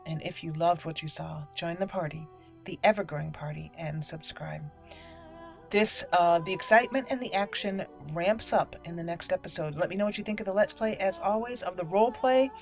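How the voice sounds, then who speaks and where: A woman's voice: fairly low pitch, normal speed, vocal fry. A woman hosting a gaming let's play, chatting casually and thinking aloud as she plays. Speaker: gaming channel host, let's play commentator. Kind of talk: casual gameplay commentary. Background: game music.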